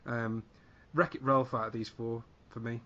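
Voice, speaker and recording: A man's voice: mid-range voice, little pitch movement, normal speed, pushed and bright, dull audio. A man on a podcast panel giving a casual, sweary, exasperated rant, often repeating himself.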